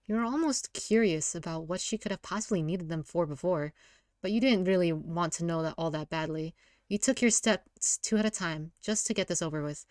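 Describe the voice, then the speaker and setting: A woman's voice: lowish voice, brisk, darkish tone, no echo, clean studio sound. A woman reading a story aloud as casual narration, with an informal, wry delivery. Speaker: story reader.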